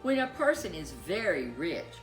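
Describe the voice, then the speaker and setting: A woman storyteller reading a children's tale in a playful, expressive way with drawn-out emphasis. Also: lowish voice, echoey room, faint music behind, poor audio. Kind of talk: animated scripted children's story narration.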